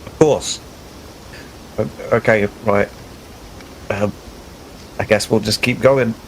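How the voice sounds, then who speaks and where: A man's voice: fairly low pitch, steady tone, takes it slowly, a little hiss or hum, rough recording. A man chatting casually as a player in a tabletop roleplaying game, describing things a little hesitantly.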